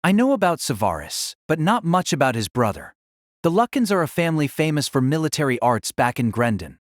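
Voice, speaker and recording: A woman voicing a mild, modest teenage boy in an audio drama, soft-spoken and accommodating. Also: sits low, sing-song, a little fast, dry recording, clean studio sound. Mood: mild, obliging. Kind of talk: anime-style audio drama acting.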